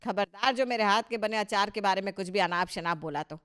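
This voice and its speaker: A woman's voice: medium pitch, brisk, a bit bright, clean tone, natural voice. Woman acting a proud, bossy older mother-in-law. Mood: boastful, indignant. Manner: boastful, scolding, emphatic. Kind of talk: Hindi cartoon story dialogue.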